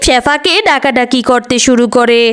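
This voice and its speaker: High-voiced woman narrating a cartoon tale. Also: steady tone, normal speed, a bit bright. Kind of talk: scripted storybook narration for a cartoon.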